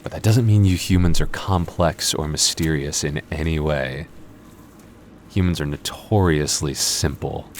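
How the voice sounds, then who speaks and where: A man acts out a cocky vampire coworker in an ASMR audio roleplay. His voice is extremely low, with a smug, flirtatious, dryly teasing delivery. Some background noise sits under the voice. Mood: defensive, smug. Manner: smug, flirty, dry teasing with sighs and chuckles. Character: cocky, teasing, moody male vampire convenience-store coworker. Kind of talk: intimate ASMR roleplay acting.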